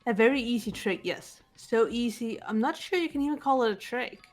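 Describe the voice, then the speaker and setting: Aloof teenage female sleuth acted by a woman, game dialogue, measured and curt, higher register. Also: animated, natural voice. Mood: cool, frustrated. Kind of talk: amateur voiced video game dialogue. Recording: music behind the voice.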